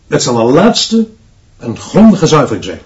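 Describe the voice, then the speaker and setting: Stern, dictatorial headmaster, muffled sound. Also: male voice, a little high, huge pitch swings, moderate pace, darkish tone. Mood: grave, emphatic. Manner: clipped, authoritarian pronouncements. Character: stern, dictatorial male headmaster, unbending and merciless. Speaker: school director addressing his teaching staff. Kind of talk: radio drama acting.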